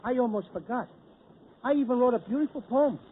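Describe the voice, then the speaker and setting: A man doing brash, wisecracking 1940s radio comedy with sudden shouts, heard on a noisy old radio broadcast. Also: extremely high pitch, fairly quick, narrow, tinny audio.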